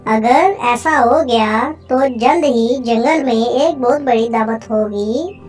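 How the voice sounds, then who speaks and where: A woman's voice: fairly high pitch, slow, darkish tone. A woman voicing a friendly, helpful female little bird in a cartoon moral story, reassuring and cheerful. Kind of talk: animated moral story dialogue. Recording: music under the voice.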